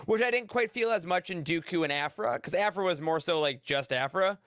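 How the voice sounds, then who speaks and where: High voiced guy rambling casually on a podcast panel, very dry sound. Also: lively intonation, brisk, fairly bright tone, dry recording. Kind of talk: casual chat, giving a review.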